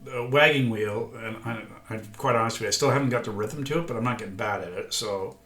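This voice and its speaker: A man's voice: fairly low pitch, quick pace. Older man, rambling, in an echoey room. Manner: rambling, self-deprecating, folksy. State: congested, recovering from illness. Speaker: vlogger learning guitar. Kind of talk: casual vlog chat. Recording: echoey room, guitar playing.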